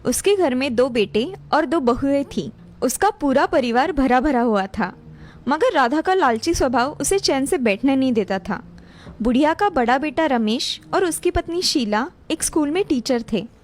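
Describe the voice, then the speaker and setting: A woman's voice: high voice, lively intonation, moderate pace, slight background noise. A woman narrator reading a scripted moral story for a cartoon. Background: music.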